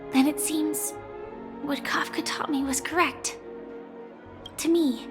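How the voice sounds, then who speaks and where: High gentle game girl, young fugitive, sincere n a bit guarded, music behind. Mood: wistful, sincere. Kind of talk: dramatic video game voice acting.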